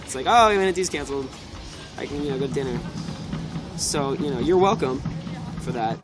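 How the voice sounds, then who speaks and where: A man's voice: medium pitch, expressive, brisk. A young man who leads a student team, talking in a dry, tongue-in-cheek, mock-grandiose way. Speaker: team leader. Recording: loud music underneath.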